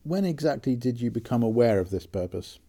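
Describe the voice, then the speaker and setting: Interviewer man with a very dark voice. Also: mid-range voice, expressive, a little fast. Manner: brief, direct questions. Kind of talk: interview questions.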